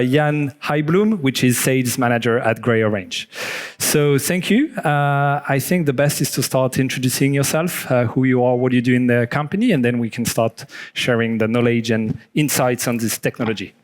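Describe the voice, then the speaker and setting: A man's voice: mid-range voice, moderate pace. A man moderating an event, introducing guests on stage with many fillers.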